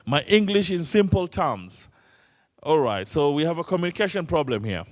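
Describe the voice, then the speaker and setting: A man's voice: a little high, very animated, normal speed. A man from Kenya whose first language is Swahili, running an interactive training session and teasing the room with many questions. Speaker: workshop trainer.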